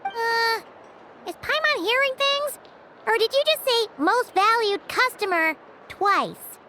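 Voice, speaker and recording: Squeaky, very high childlike fairy sidekick. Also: female voice, animated, slow, heavy background noise, full character voice. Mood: puzzled. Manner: squeaky, speaks of self in third person. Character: small childlike fairy companion, chirpy and inquisitive. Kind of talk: animated video game voice acting.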